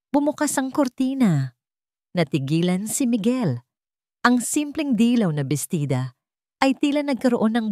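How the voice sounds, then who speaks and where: A woman narrating a romance story in a dramatic, expressive reading style. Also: fairly low pitch, dry recording, clean studio sound.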